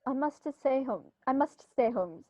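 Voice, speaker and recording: A woman playing a flustered upper-class gentleman in a radio play, fussy and easily rattled. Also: high-pitched, animated, unhurried, dry recording, lo-fi sound. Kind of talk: 1930s radio play acting.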